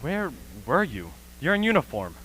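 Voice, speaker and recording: A performer acting an earnest, dismayed lone survivor in a stage radio play, speaking in a deliberate, questioning, dramatic way. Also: mid-range voice, very animated, fairly bright tone, slightly rough, rough recording. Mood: anxious. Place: school auxiliary gym.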